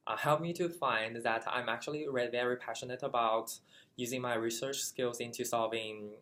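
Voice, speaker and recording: A man from China, Chinese by first language, answers a graduate-program interview in a bright, clear voice. He speaks carefully and haltingly, filling his pauses with ums and uhs as he looks for the words. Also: mid-range voice, steady tone. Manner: careful, halting with many fillers. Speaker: graduate student.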